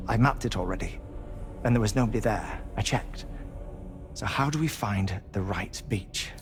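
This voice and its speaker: A man's voice: lowish voice, moderate pace, over music, close to an everyday voice. An actor playing a troubled, frustrated male ally in a video game, speaking in an earnest, dramatic way. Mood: regretful, frustrated. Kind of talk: video game cutscene dialogue.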